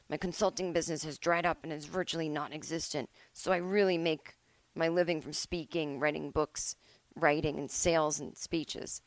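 A woman host reads court transcript aloud for laughs, breaking off for wry asides. Her voice sits on the low side. It is recorded close and dry at home. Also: no room sound. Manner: wry asides, mock-dramatic delivery. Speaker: podcast host. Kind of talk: comedic dramatic reading, casual commentary.

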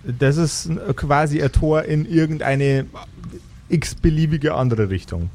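Mellow man narrating a tabletop game. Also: on the high side, slow. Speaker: game master. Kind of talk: improvised tabletop RPG narration.

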